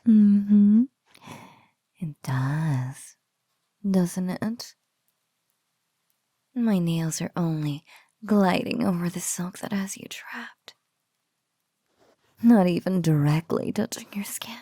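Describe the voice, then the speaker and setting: Sultry spider-woman bully, acted by a woman, breathy, lively swoops, teasing whispers, smug menace, ASMR roleplay. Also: fairly low pitch, dry recording, clean studio sound. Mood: whispery, teasing. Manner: slow, teasing, mock-pitying coos, slipping into whispers. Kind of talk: intimate ASMR roleplay acting.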